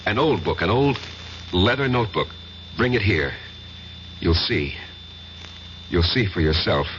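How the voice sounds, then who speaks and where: An actor playing an agitated professor in a 1970s radio drama, speaking insistently and haltingly, often repeating himself. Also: male voice, low voice, lively intonation, fairly bright tone. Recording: muffled, hissy radio drama recording.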